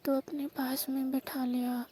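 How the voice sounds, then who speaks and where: A woman's voice: high voice, flat delivery, measured pace, mellow. A woman playing a distressed, complaining young newlywed bride confiding over the phone in a staged call drama, on a noisy recording. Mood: plaintive.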